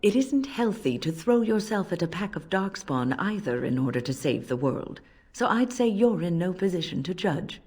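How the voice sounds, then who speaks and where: An actress playing a wise older female mage in a fantasy game, speaking as a gentle but firm, reflective mentor in measured phrases. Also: lowish voice, big pitch swings, husky. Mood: firm counsel. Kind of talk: dramatic game dialogue.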